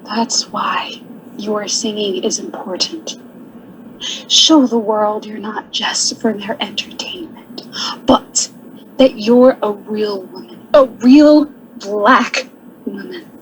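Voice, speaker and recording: A woman's voice: medium pitch, slow pace, noisy background, natural voice. An actress playing a shy young woman sign designer who talks nervously, giggles and apologizes. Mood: earnest. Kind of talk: stage radio drama acting.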